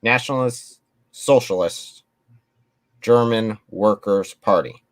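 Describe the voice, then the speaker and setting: A man's voice: lowish voice, slow. A man hosting a casual livestream chat, speaking earnestly and stumbling over his words.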